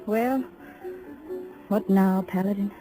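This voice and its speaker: An actress playing a scornful young frontier woman, sharp-tongued and folksy, in a 1950s radio western. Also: medium pitch, slow, over music, close to an everyday voice, low-quality recording. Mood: scornful, pleading.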